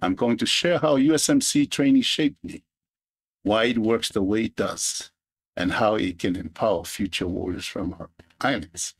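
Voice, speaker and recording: A man from Samoa with a Samoan accent who hosts a podcast as a Marine veteran. He talks in a loose, halting monologue full of restarts and repeated words. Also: lowish voice, dry recording.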